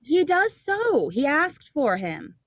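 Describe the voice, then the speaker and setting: A woman's voice: sits high, very animated, slow pace, no room sound, studio quality, heavily acted. A woman playing a sweet, teasing young small-town woman in love, acted in 1940s radio drama style. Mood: playful. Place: indoors, recorded over a video call.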